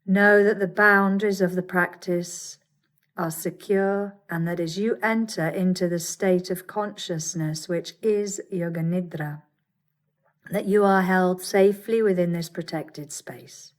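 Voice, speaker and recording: A woman teaching yoga nidra, guiding a meditation with soothing, measured instructions and gentle pauses. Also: on the low side, fairly even intonation. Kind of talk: guided meditation.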